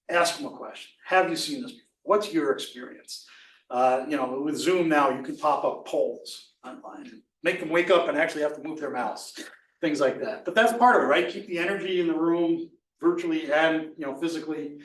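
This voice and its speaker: A man with a slightly raspy voice gives a casual, enthusiastic talk as a presenter and instructor, often tossing in "right?" as an aside. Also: on the high side, a bit bright, echoey room, recorded from a distance, rough recording.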